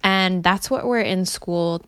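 A woman's voice: lowish voice, slow, fairly bright tone, smooth, no echo, clean studio sound. A woman interviewing a fellow student, friendly and encouraging, often laughing lightly.

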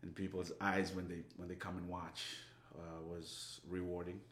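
A singer-songwriter from Fiji, a man, talking softly and casually between songs in a brewery barrel house. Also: flat delivery, normal speed. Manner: soft-spoken, low-key. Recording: roomy brewery hall echo.

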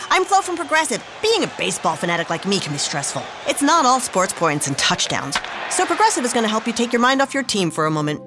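A woman's voice: medium pitch, natural voice. A woman playing a bubbly insurance spokeswoman. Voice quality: bubbly, exaggerated cheer. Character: perky upbeat female insurance spokeswoman. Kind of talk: radio ad. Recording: music bed under voice.

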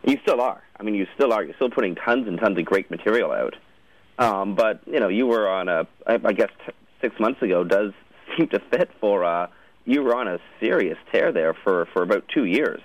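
A man's voice: fairly low pitch, lively intonation, fairly quick, dull audio. A man hosting a conversational interview, putting questions and comments to his guest. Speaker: radio or podcast host interviewing a guest.

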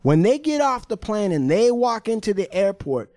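A man's voice: high voice, big pitch swings, normal speed, darkish tone, no room sound. A man hosting a podcast in a studio, asking questions in a pushy, profane way. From United States. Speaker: podcast host. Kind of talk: casual chat, interviewing.

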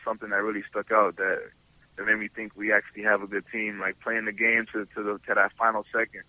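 A young man in his early twenties answers interview questions as a college athlete. His voice is fairly low and strikingly bright and forceful, recorded close and dry. He talks casually and haltingly, doubling back on words as he goes. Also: completely flat delivery, no room sound, low-quality recording. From Toronto, Canada. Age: early 20s. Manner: halting, repeats words and restarts often. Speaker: college football player being interviewed.

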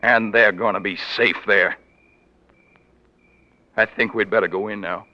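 Actor as a low-voiced, honorable Southern gentleman. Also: steady tone, a little slow, noisy background, lightly acted. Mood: firm. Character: torn, honorable married Southern gentleman resisting an old love. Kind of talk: 1940s radio drama acting. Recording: muffled 1940s radio drama recording.

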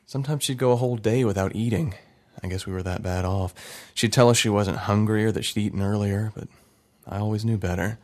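A man voicing a grown son, thoughtful and wistful, recalling his childhood in a drama. His storytelling is unhurried and conversational. It sounds like a plain, everyday male voice. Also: lowish voice, darkish tone, natural voice. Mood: reflective. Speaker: narrator. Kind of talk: reflective dramatic narration.

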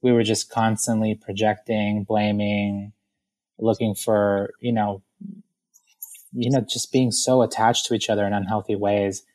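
A man in his thirties from Ecuador, a podcast guest talking thoughtfully and softly, with frequent fillers and restarts. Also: fairly low pitch, flat delivery, unhurried. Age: 30s. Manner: thoughtful, soft-spoken, many fillers and restarts. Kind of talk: reflective conversational interview.

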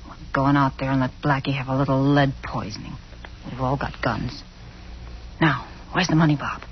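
An actor playing a ruthless, bossy gangster in a 1940s radio crime drama, on a muffled, noisy recording. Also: male voice, mid-range voice, steady tone, moderate pace, natural voice. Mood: menacing. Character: ruthless bossy male gangster pressing his partner.

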